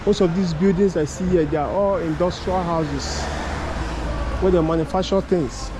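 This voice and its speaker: High-pitched Nigerian man vlogging on the street. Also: fairly even intonation, moderate pace. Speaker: travel vlogger. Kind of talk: casual walking vlog narration and chat. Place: outdoors on a city street. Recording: noisy outdoor street, traffic ambience.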